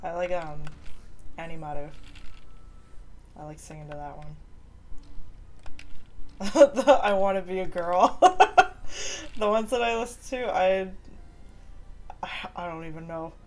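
A woman streaming a game from home, chatting with viewers in a relaxed, giggly way. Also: fairly low pitch, measured pace, long pauses. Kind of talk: casual chat while gaming. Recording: faint keyboard typing behind.

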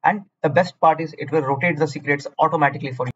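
A man's voice: on the high side, steady tone, fast talker, a bit bright, muffled recording, slight background noise. A man with an Indian accent tutoring through exam questions, blunt and repetitive, scolding the listener at times. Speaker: cloud certification tutor. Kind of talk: screen-recorded exam walkthrough.

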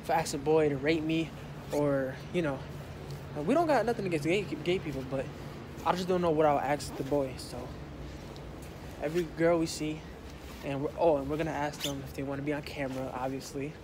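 A man's voice: fairly high pitch, brisk, background noise. A young man in a school hallway, vlogging casually into a handheld phone, rambling in loose slang with lots of fillers. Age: young adult. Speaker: vlogger, high school student. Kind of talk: casual vlog chat. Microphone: phone camera held close.

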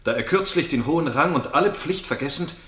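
An actor playing a formal, urgent royal messenger in 1960s German radio theatre style, in a roomy studio recording. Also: male voice, on the high side, animated, brisk, fairly bright tone.

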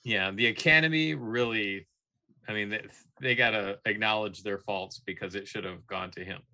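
A man's voice: fairly low pitch, moderate pace, sharp tone, rough recording. A man in his thirties chatting casually as co-host of a film podcast. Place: indoors, small room.

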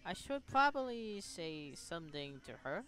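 A man hosting a gaming video, reading game text aloud and commenting in short, halting reactions. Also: high-pitched, big pitch swings, noisy background.

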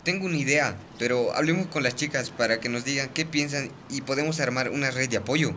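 A friendly teenage boy acted by a man in a radio drama, encouraging, with a Bolivian accent. The voice is bright, with faint noise around it. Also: medium pitch, a little fast, lightly acted. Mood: upbeat, encouraging.

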